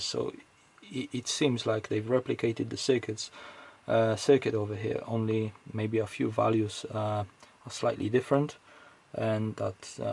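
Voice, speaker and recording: A man's voice: on the low side, creaky, darkish tone, husky. A man giving casual, off-the-cuff technical commentary as he hosts an electronics teardown, hesitantly thinking aloud as he goes.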